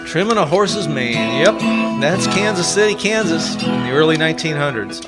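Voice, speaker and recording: A man's voice: on the high side, expressive, moderate pace, loud music behind the voice, natural voice. A man playing a folksy narrator of early-1900s Kansas City in an old-time radio Western. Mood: wry, reminiscing. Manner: folksy, drawling cowboy-era phrasing.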